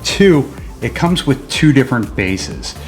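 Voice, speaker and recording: Low-voiced man explaining gear over music. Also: big pitch swings, unhurried. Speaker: co-host of a vehicle gear channel. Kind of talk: casual how-to install demonstration.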